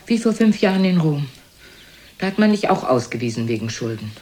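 A woman's voice: low voice, big pitch swings, a little fast, a little mellow. An actress playing a proud, reproachful elderly mother in a radio play, scolding and accusing in a dramatic manner. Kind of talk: German radio play acting.

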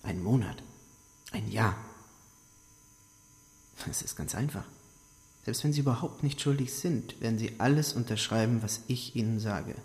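A man's voice: on the low side, normal speed, pauses often, darkish tone, lightly acted. Man acting a cold interrogator in a reverberant hall. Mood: coldly threatening. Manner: insistent, coaxing, formal bureaucratic address. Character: cold, menacing Soviet secret police interrogator, male. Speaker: radio drama actor. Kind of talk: German radio drama acting. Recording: long echoing hall reverb.

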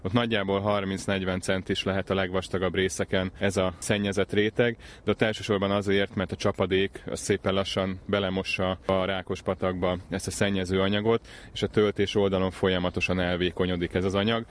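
A man explaining things as a conservation specialist in an outdoor interview, measured and precise. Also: deep voice, little pitch movement. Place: outdoors in a wetland reserve.

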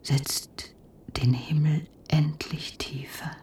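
An actress playing an eerie figure who recites bleak, bitter poetry in a breathy whisper in a radio play. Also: female voice, deep voice, level tone, takes it slowly, a little mellow, recorded from a distance. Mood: bleak, brooding. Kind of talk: slow poetic radio drama recitation.

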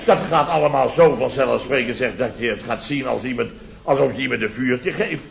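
An actor playing a pompous middle-aged building boss in a radio comedy, blustering indignantly and repeating himself. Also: male voice, mid-range voice, fairly quick, vocal fry, natural voice. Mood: indignant storytelling. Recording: dull, lo-fi old radio recording.

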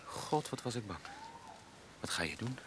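An actor in a radio drama playing an uneasy, reluctant young man who speaks in short, halting replies. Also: fairly low pitch, very animated, fairly bright tone. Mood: drowsy, anxious. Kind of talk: Dutch radio drama acting. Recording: clear background noise with clicks.

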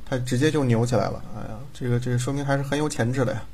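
A man's voice: medium pitch, fairly even intonation, very fast, darkish tone, a little hiss or hum. A man chatting casually as a voice director, teasing and often repeating himself. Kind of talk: casual bantering chat. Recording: clear music behind the voice. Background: background music.

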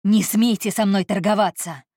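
A woman's voice: fairly low pitch, normal speed, a little mellow, dry recording, clean studio sound, close to an everyday voice. A woman voicing a domineering, hot-tempered wealthy employer who speaks curtly and coldly. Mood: furious. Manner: curt, commanding, cold.

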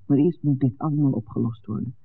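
A young village woman in a vintage radio drama, worried yet firm. Her voice is husky with some creak and sits low for a woman. The recording is thin and narrow, like an old broadcast. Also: fairly even intonation, fast, heavily acted. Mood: anxious, determined. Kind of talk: 1960s Dutch radio drama acting. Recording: muffled, band-limited 1960s radio recording.

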